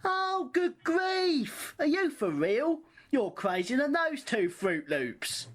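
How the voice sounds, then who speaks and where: A woman's voice: high voice, sing-song, takes it slowly, exaggerated voice. A woman acting in an amateur radio play as a defiant, huffy woman who takes offence easily and argues combatively. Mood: indignant. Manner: indignant, combative.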